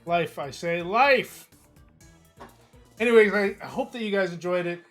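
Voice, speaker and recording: A hoarse man streaming, reading a visual novel aloud in a casual, chatty way with small slips. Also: high voice, measured pace, fairly bright tone, soft background music. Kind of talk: narrating a visual novel live.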